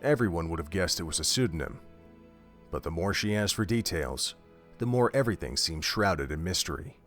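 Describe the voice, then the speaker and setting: A man narrating a scripted story in a measured, steady way. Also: sits low. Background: faint music.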